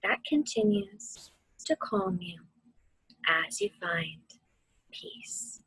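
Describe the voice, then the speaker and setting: A woman with a soft, breathy voice gently guiding children through a mindfulness meditation, soothing and deliberate. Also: medium pitch, sing-song, dry recording, rough recording. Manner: soothing, gentle, deliberate, often dropping to a near-whisper. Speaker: mindfulness instructor and host of a weekly video series.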